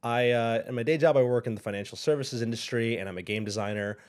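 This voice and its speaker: A young man as a guest on an interview show, speaking thoughtfully, pausing often and restarting his sentences. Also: medium pitch. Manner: thoughtful, hesitant, many fillers and restarts. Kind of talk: casual interview.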